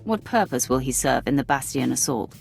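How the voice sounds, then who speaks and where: A woman's voice: very deep voice, expressive, fairly quick, gravelly, soft background music, heavily acted. An actress playing a composed, steely female Sith warrior in a video game, controlled, formal and confident. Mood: composed, assured. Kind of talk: video game dialogue acting.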